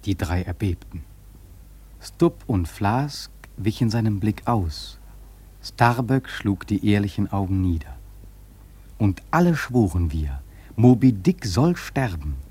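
An actor playing a sailor who narrates a whaling voyage in a radio drama, husky, reflective and ominous in measured phrases. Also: male voice, lowish voice, sing-song, full character voice. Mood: grave storytelling. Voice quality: husky, dark.

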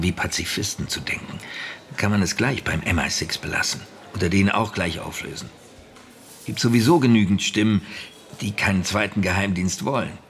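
An actor in a radio drama playing an unsentimental, impatient British spymaster, speaking with emphatic authority. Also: male voice, lowish voice, gravelly, a bit bright, a little hiss or hum. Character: hard-nosed male British spymaster, brisk, impatient, unsentimental.

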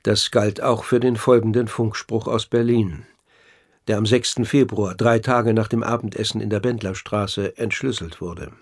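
A man's voice: fairly low pitch, steady tone, normal speed. Formal, probing male radio narrator. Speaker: narrator and interviewer. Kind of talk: scripted radio documentary narration.

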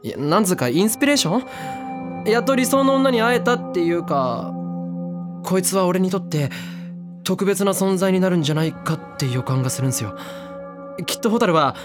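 A brash young man who loves danger, slightly higher-pitched than most men. He talks in slangy, clipped casual speech, with music playing clearly behind him in this drama CD scene. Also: lively intonation, a little fast. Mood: candid. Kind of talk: drama CD acting. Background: background music.

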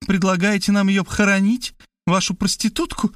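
Man acting a haughty, shrill-tempered celebrity woman, pitched up. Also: steady tone, moderate pace, a bit bright, dry recording, studio quality. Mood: rude indignation. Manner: commanding, scornful, theatrically indignant. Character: haughty, sharp-tongued celebrity woman sleuth, easily outraged.

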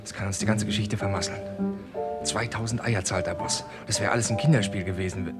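An actor playing a menacing, insolent gangster in a vintage radio crime drama, slangy and threatening. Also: male voice, lowish voice, fairly even intonation, a little fast, over loud music, close to an everyday voice. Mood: low menace.

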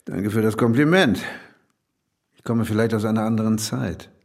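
An actor playing a polite, old-fashioned older village man in a radio drama, gravelly and husky, evasive and hesitant. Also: on the low side, normal speed, a little mellow. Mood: guarded, polite. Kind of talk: naturalistic radio drama acting.

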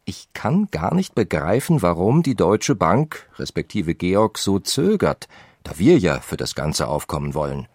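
An actor reading the letters of a German industrialist brother, insistent and urging. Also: male voice, low voice, sing-song, a little slow, a little mellow, husky, no echo, studio quality, full character voice. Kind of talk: reading historical letters in a radio feature.

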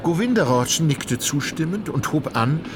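A man narrating a literary radio play in a measured, deliberate storytelling manner. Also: a little high, lively intonation, noisy background, close to an everyday voice.

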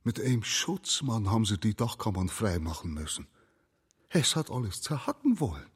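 An actor playing a worried, weary older refugee father with a husky voice, in radio play dialogue. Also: on the low side, big pitch swings, normal speed, heavily acted. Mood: worried, resigned.

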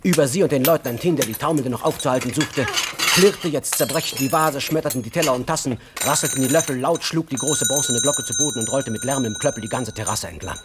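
A man's voice: medium pitch, quick pace, few pauses, very noisy. A man giving an intense, emphatic literary narration in a radio drama. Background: prominent music.

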